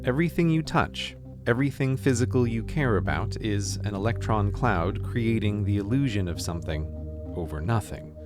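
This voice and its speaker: A man's voice: on the low side, expressive, normal speed. A man giving scripted narration in a grand, awed storytelling manner. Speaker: narrator of a played video. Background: music.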